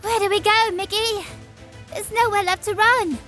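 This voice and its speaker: A woman voicing an excitable, daring little girl in an audio drama. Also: extremely high pitch, normal speed, soft background music. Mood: worried, scared.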